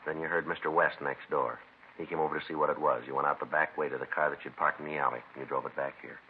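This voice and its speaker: A man playing a calm, dogged police detective in a 1950s radio crime drama, matter-of-fact and clipped. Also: a bass voice, fast. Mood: firm, unemotional. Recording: tinny, noisy vintage radio recording.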